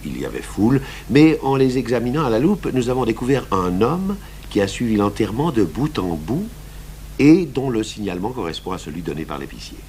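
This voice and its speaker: Thoughtful stubborn police commissioner in a radio play, low voice that moves around a lot, hesitant, hummy old tape. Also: male voice, sing-song, darkish tone. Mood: measured, persuasive. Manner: hesitant, deliberate, pausing mid-thought. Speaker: actor playing a police commissioner. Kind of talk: French radio crime drama acting. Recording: noisy 1980s radio recording with hum.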